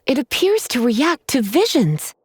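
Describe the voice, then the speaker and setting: Lisa, a languid, teasing librarian mage. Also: female voice, high voice, sing-song, a little slow, a little hiss or hum. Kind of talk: game cutscene voice acting.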